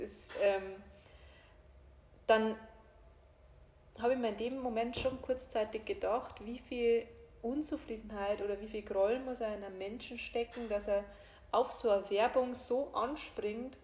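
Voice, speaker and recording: A woman's voice: mid-range voice, a little mellow. A woman coach with a German regional dialect, speaking openly and laughing easily, with many fillers. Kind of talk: casual conversation. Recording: echoey room.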